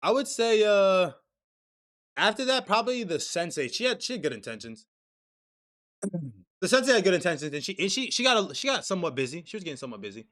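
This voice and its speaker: A young man with a bright, fairly high voice chats loosely on a live anime stream. He swears freely, stumbles through restarts and thanks viewers from the chat. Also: big pitch swings, a little fast, long pauses. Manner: casual, profane, reads chat aloud, restarts often. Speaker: stream co-host. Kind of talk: casual anime discussion stream.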